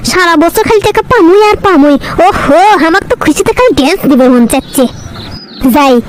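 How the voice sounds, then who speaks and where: Woman voicing a squeaky-high boy-crazy cartoon college girl. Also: slight background noise, poor audio. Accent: northern Bangladeshi. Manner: dramatic, self-pitying and boastful. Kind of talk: comic cartoon dialogue in rural dialect.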